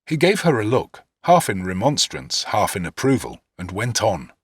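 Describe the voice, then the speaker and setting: Man, audiobook narrator, deep, husky, lively intonation, literary storytelling, very dry close recording. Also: moderate pace, no room sound. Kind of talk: first-person literary narration, expressive.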